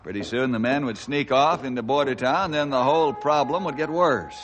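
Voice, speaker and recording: An actor playing an upright, steady forest ranger, earnest and authoritative, in an old-time radio adventure. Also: male voice, medium pitch, moderate pace, lightly acted. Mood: friendly, earnest.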